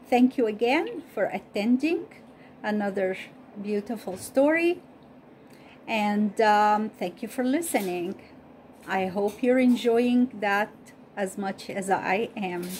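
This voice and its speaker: A woman whose first language is Arabic, slowly and carefully reading a Bible story aloud and repeating study questions as host. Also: medium pitch, darkish tone, slight background noise.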